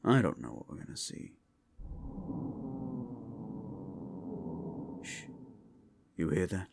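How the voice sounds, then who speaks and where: A man voicing a gruff, seasoned demon monster hunter in an action-horror audio roleplay, with a gravelly voice and a wry, laconic, protective manner. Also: sits low, sing-song, normal speed, a little hiss or hum, exaggerated voice. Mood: low, wary.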